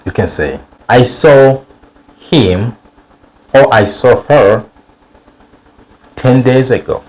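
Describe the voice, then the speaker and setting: A man teaching English vocabulary in a lesson, saying example sentences slowly and repeating them for learners. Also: medium pitch, darkish tone, poor audio. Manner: slow, drawn-out model sentences repeated for learners. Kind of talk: bilingual language lesson.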